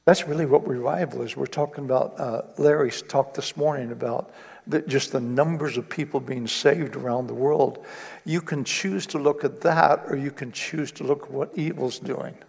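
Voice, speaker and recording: A man's voice: mid-range voice, steady tone, moderate pace, creaky, slightly rough. A man preaching a sermon in an earnest way, building to emphatic repetition. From East Africa. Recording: long reverb of a hall.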